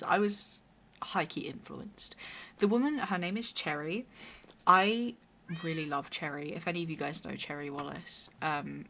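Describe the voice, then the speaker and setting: A young woman with a hoarse, raspy voice, chatting casually and rambling while streaming a game. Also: on the low side, a bit bright, a little hiss or hum. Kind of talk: casual chat while gaming.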